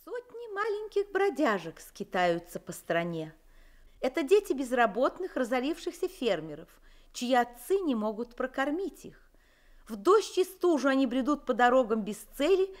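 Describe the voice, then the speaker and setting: A formal radio news announcer reads a bulletin. Her voice is high with lively pitch. The delivery is measured and official.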